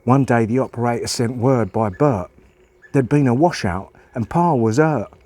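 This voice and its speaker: A man's voice: medium pitch, normal speed, warm tone, pitched above the performer's own voice, close to an everyday voice. A man voicing a folksy country child reciting a family story in rustic dialect. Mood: hushed, worried. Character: folksy country child telling about a beloved Pa. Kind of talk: dramatic poem recitation.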